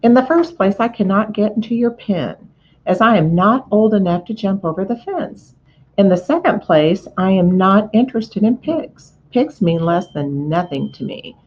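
A woman's voice: mid-range voice, expressive, normal speed, a little hiss or hum, natural voice. A snooty young lamb turns up its nose in a prim, clipped way, as a woman puts it on in a storybook reading. The recording is dull and lo-fi. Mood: haughty. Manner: prim, dismissive. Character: haughty young lamb, cold and dismissive.

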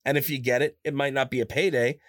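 A man chatting casually in an interview as a voice actor, telling stories in a rambling, animated way and often repeating himself. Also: fast, no room sound, studio quality. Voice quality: higher-pitched natural voice. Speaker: voice actor guest. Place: his own studio. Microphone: studio microphone, close.

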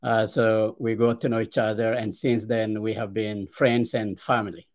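A middle-aged man with an Ethiopian accent, a podcast guest telling his life story in a hesitant way, with many "uh" pauses and repeated starts. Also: on the low side, flat delivery, a little mellow. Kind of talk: conversational storytelling.

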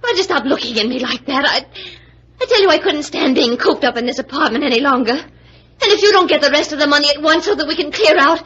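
A dull vintage radio recording carries a restless, defiant accomplice. Her voice is very high, emotional and defensive. She performs in theatrical 1940s mystery style. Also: animated, quick pace, fairly bright tone, a little hiss or hum. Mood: tearful defiance.

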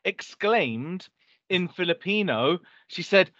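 Man, casual podcast chat, rambling and self-correcting, slightly high voice, dry dull sound. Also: lively intonation, slow pace, fairly bright tone, dry recording. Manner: rambling storyteller, many restarts and fillers. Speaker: podcast co-host.

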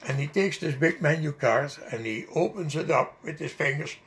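A man's voice: a little high, normal speed, fairly bright tone, slight background noise. An older man, not a native English speaker, recalling a personal story in a rambling oral history interview. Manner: rambling, vivid recollection with fillers.

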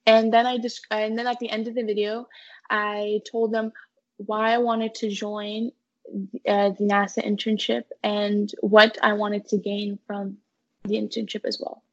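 A woman's voice: mid-range voice, level tone, unhurried, highs cut off. A young woman, earnestly answering questions in a conversational interview. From United States-born, living in Canada. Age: teens to young adult. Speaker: interview guest, student intern.

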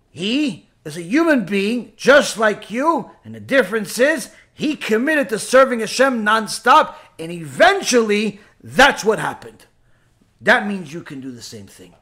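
A man's voice: high-pitched, a bit bright. A man speaking as a rabbi giving a religious lecture, emphatic, with rhetorical repetition and bits of acted-out dialogue.

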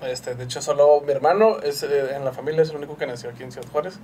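Slangy northern Mexican man, higher voice. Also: fast talker, mellow, a little hiss or hum. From Chihuahua, Mexico. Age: 30s. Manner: slangy, laid-back, swears freely. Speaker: podcast guest. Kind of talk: casual chat.

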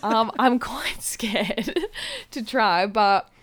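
A woman co-hosting a podcast in a chatty, playful, casual way, with animated reactions. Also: mid-range voice, drawn-out words, a little hiss or hum. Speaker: podcast co-host. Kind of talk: casual podcast chat, live taste test.

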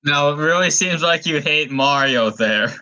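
Podcast co-host, male, bright somewhat high voice, dry mock-earnest delivery. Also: a little slow, clear, resonant tone, dull audio. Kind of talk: improvised comedy banter.

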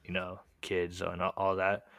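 A young man from the United States, whose first language was Korean, telling his childhood story in a relaxed, rambling way, full of "like" and "um". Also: low-pitched, unhurried, vocal fry, no room sound. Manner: relaxed, rambling, heavy use of "like" and "um" fillers. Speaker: podcast guest telling his life story. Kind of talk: casual storytelling chat.

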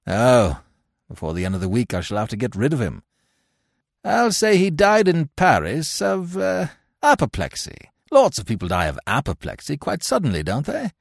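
A stiff young gentleman, played by a male narrator, pompous and quick to indignation. The pitch moves dramatically, and the recording is dry and close, in audiobook manner. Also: sing-song, vocal fry, no echo. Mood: brisk, self-satisfied.

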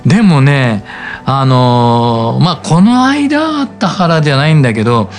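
A man's voice: medium pitch, measured pace, soft background music. A man hosting a casual radio talk show, nodding along and steering the conversation between guests. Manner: relaxed, many short nods. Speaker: radio host.

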